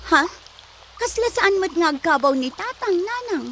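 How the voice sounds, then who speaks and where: An innocent Ilocano girl asks nosy questions in a piercingly high, bright voice. It is comedy radio drama with faint noise behind. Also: lively intonation, moderate pace. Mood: inquisitive. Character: inquisitive, innocent young daughter.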